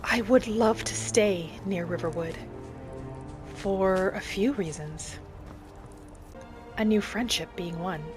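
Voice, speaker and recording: A woman voicing a gentle, adventurous female wood elf traveller in a video game roleplay, musing softly aloud in character over music. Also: mid-range voice, natural voice. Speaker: video game streamer role-playing.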